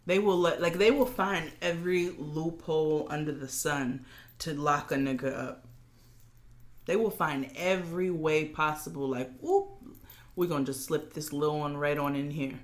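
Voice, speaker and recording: A woman's voice: sits low, moderate pace. A woman with a New York accent co-hosting a podcast in casual chat, joking and laughing often. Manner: laughs a lot, animated and joking. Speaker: podcast co-host.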